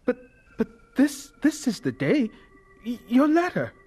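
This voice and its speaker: A man's voice: very high-pitched, wildly swooping pitch, measured pace, darkish tone, husky, exaggerated voice. An actor playing an earnest, nervous young male student in an audio drama, stammering and deferential. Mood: flustered, pleading.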